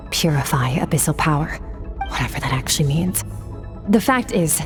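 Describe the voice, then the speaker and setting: An actress plays a cool, otherworldly swordswoman from a video game. Her voice is on the low side, and she speaks in a detached, condescending, matter-of-fact way over faint music. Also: darkish tone, lightly acted. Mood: cool, explanatory. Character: aloof, battle-hardened otherworldly swordswoman, cool and blunt.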